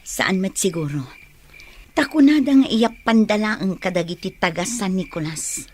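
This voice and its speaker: A protective older sister with an Ilocano accent, played by an actress. Her medium-pitched voice carries worry and patient counsel in the style of a radio serial. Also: lively intonation, moderate pace, darkish tone, lightly acted. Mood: reassuring. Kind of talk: Ilocano radio drama acting.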